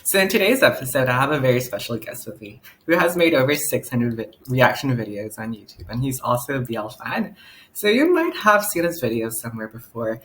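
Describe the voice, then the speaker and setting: A man's voice: mid-range voice, sing-song, brisk, a bit bright. A man hosting a podcast, chatting casually with a guest in a playful, giggly, self-mocking way. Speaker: podcast host. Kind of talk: casual chat interview.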